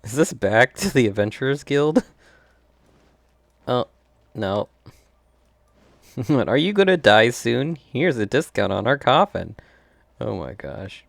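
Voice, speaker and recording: A woman with a very deep, gravelly voice gives casual gameplay commentary. Her pace is very slow and she sounds amused as she reacts. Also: sing-song, no echo. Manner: amused, giggly. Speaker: gaming streamer.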